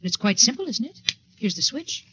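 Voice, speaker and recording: An actress playing a cool, formal, faintly sinister secretary in 1940s radio style. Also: female voice, a little high, big pitch swings, fairly quick, fairly bright tone. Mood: pointedly calm. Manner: stiffly formal, pointed. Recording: noisy, dull 1940s radio recording.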